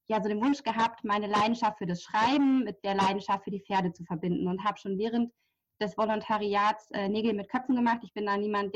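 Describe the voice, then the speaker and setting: Chatty woman guest, slightly muffled sound. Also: mid-range voice. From near Hamburg, Germany. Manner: chatty, many fillers, laughs lightly. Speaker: podcast guest, journalist and horse therapist. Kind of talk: casual interview talk. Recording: slightly dull lo-fi audio.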